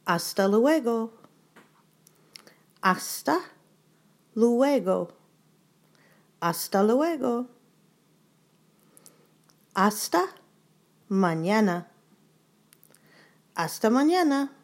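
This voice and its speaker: A woman teaching short phrases in a slow, deliberate repeat-after-me drill, saying each phrase three times. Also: on the high side, animated. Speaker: language teacher.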